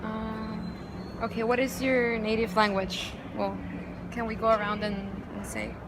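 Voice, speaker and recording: Slow, soft-spoken woman moderator. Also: on the high side. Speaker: focus group moderator. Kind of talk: asking discussion questions. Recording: background noise.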